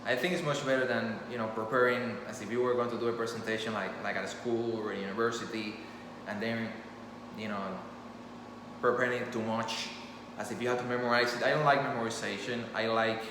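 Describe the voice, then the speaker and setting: A man whose first language is Spanish talks earnestly and without a script into his phone. His voice sits in a middling register and rings around an echoey room with a long tail. A steady background hum sits clearly under his words. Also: mid-range voice, brisk. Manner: rambling, earnest, many restarts. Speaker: storyteller channel host. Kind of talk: impromptu talk to camera.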